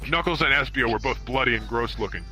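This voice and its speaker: A man's voice: mid-range voice, quick pace, sharp tone, rough recording. A man reading a comic story aloud as a dramatic narrator, with a mock-serious manner. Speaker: amateur reader of comic fan fiction. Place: online virtual reality hangout. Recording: music playing behind the voice.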